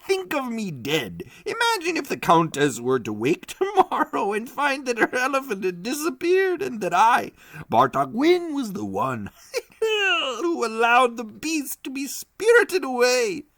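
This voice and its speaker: A man's voice: wildly swooping pitch, extremely slow, creaky, fairly bright tone, no room sound, clean studio sound, heavily acted. A man voicing a small, bent old man who laughs helplessly through his words. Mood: cackling. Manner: shrill, giggling through words.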